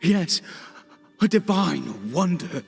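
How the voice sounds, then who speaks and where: An actor playing a frantic, fanatical father in a video game, speaking in a halting, stammering, theatrical way. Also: fairly high pitch, very animated, gravelly, soft background music, full character voice. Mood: reverent awe. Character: frantic, fanatical father searching for his missing son. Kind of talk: dramatic video game voice acting.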